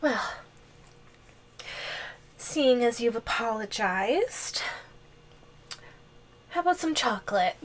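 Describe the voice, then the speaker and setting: Slow, high-voiced teasing giantess girlfriend played by a woman. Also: expressive. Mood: sweetly menacing. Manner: slow, playful teasing with frequent giggles. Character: possessive, teasing yandere giantess girlfriend, sweetly menacing. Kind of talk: ASMR roleplay audio drama.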